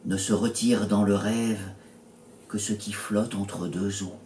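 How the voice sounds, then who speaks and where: A man reading his poetry in a literary style, measured and pausing between short phrases. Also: fairly low pitch, steady tone, a little mellow. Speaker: poet reading own poems. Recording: roomy echo, distant mic, faint noise.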